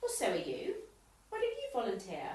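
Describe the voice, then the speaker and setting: A woman's voice: medium pitch, wildly swooping pitch, normal speed, darkish tone. A woman playing a tart, sarcastic, competitive tennis club member in an amateur comedy radio play. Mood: dry sarcasm. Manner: dry, pointed, sarcastic. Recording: roomy, echoey, distant home recording.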